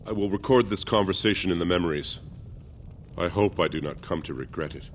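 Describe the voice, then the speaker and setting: Fantasy game casting: male actor as dignified elderly dwarf archivist, deep, grave, measured and formal. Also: slight background noise, natural voice. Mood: grave, reluctant. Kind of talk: video game character acting.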